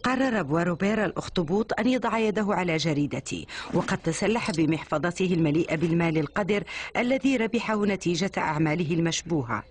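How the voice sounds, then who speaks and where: A woman's voice: lowish voice, animated, fast, slight background noise, natural voice. A woman voicing a forthright maid and companion to a duchess, earnest and formal, in an Arabic cartoon dub. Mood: indignant.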